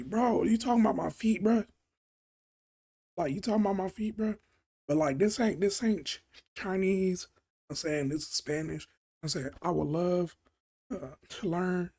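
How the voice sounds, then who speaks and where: A man's voice: a little high, vocal fry, darkish tone. A man hosting a reaction video, talking casually in slang, rambling and repeating himself. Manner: slangy, rambling, says "bro" constantly.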